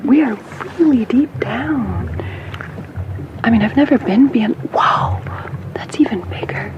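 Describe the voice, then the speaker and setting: A woman playing a playful, sassy young companion to a captive merperson in an ASMR bedtime roleplay, often whispering in a breathy, affectionate way. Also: fairly high pitch, animated, lo-fi sound, noisy background. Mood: hushed awe. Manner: snarky, affectionate, hushed, chatty and halting.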